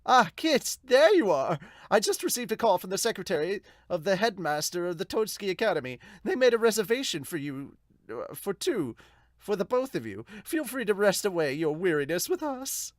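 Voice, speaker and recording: Polite, hospitable inn host, acted by a man, manga dub, raised pitch, lilting delivery. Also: very animated, moderate pace, no room sound, studio quality. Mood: welcoming. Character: courteous, welcoming hot spring inn host.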